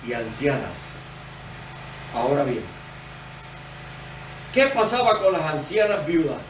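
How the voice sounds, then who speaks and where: High-voiced preacher in a roomy church. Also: male voice. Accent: Puerto Rican Spanish. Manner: measured, explanatory preaching. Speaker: associate pastor. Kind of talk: sermon. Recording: roomy, distant church recording with faint noise.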